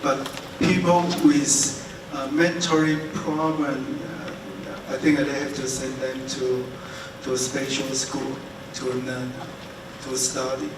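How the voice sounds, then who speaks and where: A man fields questions at a conference in plain, unscripted English. His pace is slow, and the sound is echoey, with clear noise behind him. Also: medium pitch, little pitch movement. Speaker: conference speaker. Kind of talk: unscripted question-and-answer talk. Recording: echoey hall, distant mic, noisy.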